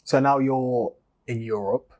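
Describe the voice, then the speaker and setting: A man's voice: mid-range voice, steady tone, a little slow, a little mellow. A man hosting a casual interview, asking questions in an informal, conversational way. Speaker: interviewer, podcast host. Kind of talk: casual chat.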